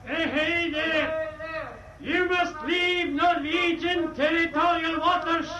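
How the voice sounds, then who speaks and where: An actor playing a stern, cautious Norwegian naval officer calling across the water in a radio drama. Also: male voice, very high-pitched, steady tone. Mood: stern, wary. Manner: slow, strained calling. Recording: far-off echoey shout over crowd-like noise.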